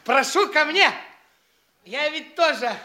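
A man's voice: extremely high pitch, animated, slow pace, heavy background noise, full character voice. An actor playing a boastful, foppish young man in a theatrical stage comedy, gushing and stammering over his own boasts. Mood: excited bragging.

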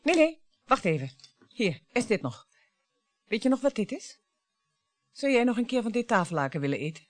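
An actress in a Dutch radio play playing a bitter, grieving mother who confronts her husband in a dramatic, halting, taunting way. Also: on the high side, sing-song, a little hiss or hum. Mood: taunting, accusing.

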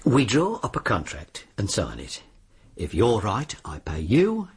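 An actor playing a suave, sly disbarred lawyer in a radio drama, with a British accent and an insinuating, politely formal way of talking. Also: male voice, lowish voice, wildly swooping pitch, measured pace, husky, full character voice. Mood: businesslike, sly. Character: suave, sly disbarred lawyer arranging sinister bets on deaths.

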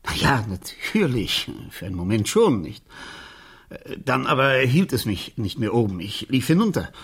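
A man playing an evasive, irritable uncle in a German radio crime drama, halting and easily indignant. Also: mid-range voice, sing-song, slightly rough. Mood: reluctant, halting. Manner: hesitant, halting, turning indignant when pressed. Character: evasive, irritable uncle questioned by his teenage niece.

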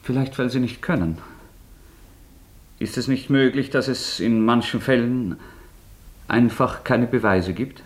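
Male actor as tormented young killer, husky, mid-pitched, agitated, halting, defiant, radio play. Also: lightly acted. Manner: agitated, halting, swinging between whispers and outbursts. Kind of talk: German radio drama acting.

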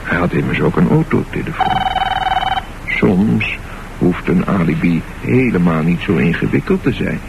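A man with a very low voice tells a dramatic story for radio in measured, deliberate phrases. The recording is dull and lo-fi, with clear noise underneath. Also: huge pitch swings. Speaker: narrator of a radio play. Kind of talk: scripted dramatic narration.

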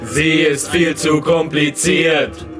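A man's voice: fairly high pitch, fairly even intonation, slow. A man in goofy comedy outtakes, exclamatory and joking. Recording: music clearly behind.

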